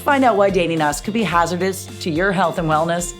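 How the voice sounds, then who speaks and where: A woman's voice: fairly low pitch, brisk, faint music behind. A woman bantering casually as a game show panelist, emphatic and playfully dramatic.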